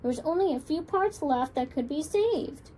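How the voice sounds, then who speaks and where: A woman children's librarian reading a picture book aloud with expressive, lilting storytelling. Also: sits high, normal speed, rough recording.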